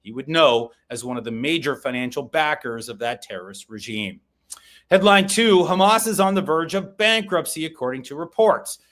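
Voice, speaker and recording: A man with a somewhat high voice runs through the day's headlines at a brisk clip. He drops in short, pointed remarks of his own between the items. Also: lively intonation, a bit bright. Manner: brisk, opinionated commentary. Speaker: podcast host reading headlines. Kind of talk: news brief with personal asides.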